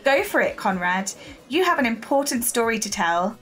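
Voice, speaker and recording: An actress playing a persistent, shrewd newspaper reporter in an audio drama, speaking confidently and articulately. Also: female voice, on the high side, big pitch swings, moderate pace, a bit bright, soft background music. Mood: breezy, pleased.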